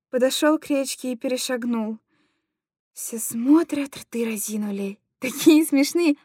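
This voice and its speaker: A woman's voice: high-pitched, mellow, no room sound, clean studio sound, lightly acted. A woman playing an anguished, tender mother who coos simple, repetitive stories to her little boy in a dramatic monologue. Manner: cooing, repetitive, childlike storytelling to a small child. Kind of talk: dramatic literary monologue reading.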